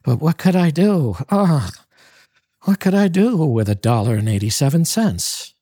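A man's voice: fairly high pitch, sing-song, a little slow, warm tone, no room sound, clean studio sound, voice pushed up. A man voicing an earnest, anxious, loving young wife in a dramatic storybook reading, pleading with feeling. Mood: fretful.

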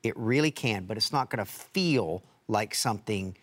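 A man co-hosting a talk show interview, halting and searching for words as he asks questions. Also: lowish voice, lively intonation, a bit bright. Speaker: co-host.

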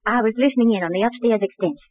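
An actress playing a cool, haughty young widow in the style of 1940s radio crime drama. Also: female voice, fairly high pitch, very fast, no echo, natural voice. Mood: urgent, tense. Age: young adult. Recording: dry, tinny old radio recording.

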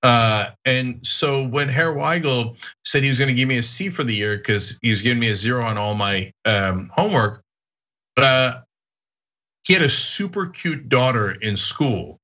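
A man raised in Turkey, hosting a casual podcast and telling stories in an animated way, laughing often. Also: medium pitch, normal speed, muffled recording. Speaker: podcast host. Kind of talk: casual chat.

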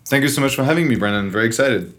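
A middle-aged man from France with a French accent, telling his story as a guest in a relaxed, dry way. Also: mid-range voice, racing. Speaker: interview guest, venture investor. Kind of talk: storytelling in an interview.

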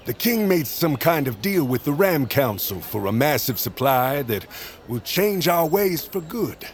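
Sly, grumpy old shopkeeper, swooping pitch. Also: male voice, sing-song, a little slow. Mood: sly, amused. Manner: sarcastic, gruff. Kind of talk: audio drama acting.

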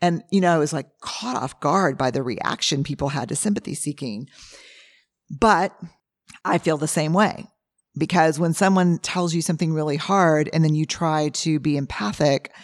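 A middle-aged woman whose voice is hoarse and husky today. She talks in a candid, lively way and acts out the things people say as she tells stories to her podcast listeners. The sound is dry and close to the mic. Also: sits low, moderate pace, dry recording. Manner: candid, animated storytelling with acted-out quotes. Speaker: podcast host. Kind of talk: casual solo talk.

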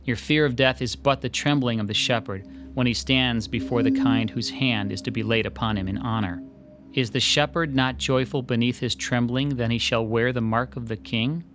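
A man's voice: medium pitch, normal speed, over music, close to an everyday voice. An actor playing a polite, slightly hesitant male sketch artist in a radio drama. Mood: reading aloud.

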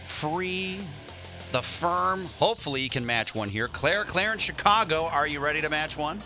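A man's voice: fairly high pitch, normal speed, pushed and bright, faint music behind. A man co-hosting a radio show, enthusiastically hyping up the guest and the game. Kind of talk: upbeat radio banter.

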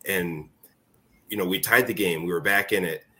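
A man's voice: low-pitched, moderate pace, sharp tone. A man in his forties, a former ballplayer, speaking casually as an interview guest and telling stories. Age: 40s. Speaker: former college baseball player, interview guest.

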